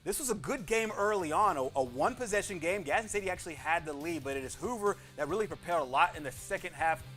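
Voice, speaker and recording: A man hosts a football score roundup with an emphatic announcer delivery. His voice sits a little high and moves very quickly, with faint music behind it. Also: expressive, a bit bright. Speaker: sports show host.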